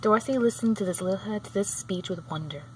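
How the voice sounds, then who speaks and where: A woman reading a children's book aloud, sometimes halting and going back over a phrase. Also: mid-range voice, rough recording. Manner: halting, often rereads phrases. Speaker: storybook reader. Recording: clear background noise.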